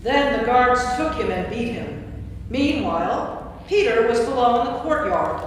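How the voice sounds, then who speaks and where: A woman's voice: on the high side, expressive, measured pace. Echoing woman narrating scripture. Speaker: narrator, priest. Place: church, recorded from a distance. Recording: very echoey church, distant mic, faint noise.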